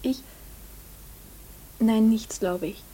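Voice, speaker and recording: An actress playing a dreamy young farmer's daughter in a German radio play, devoted, hesitant and quietly earnest. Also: female voice, on the high side, very slow, breathy edge, full character voice, poor audio.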